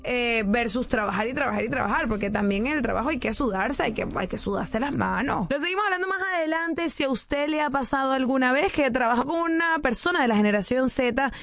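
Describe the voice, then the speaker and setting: A young woman in her late twenties hosting a talk show, chatty and animated, inviting listeners to call in. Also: sits high, a little fast, hardly any pauses, soft background music. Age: late 20s. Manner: chatty, animated, addresses callers. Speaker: radio host. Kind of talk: casual talk show chat.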